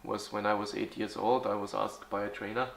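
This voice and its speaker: Man, 40s, low flat voice, answers haltingly with fillers, echoey room. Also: creaky. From Austria. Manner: halting, with frequent fillers and restarts. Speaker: youth football club organizer. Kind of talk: interview answers in English.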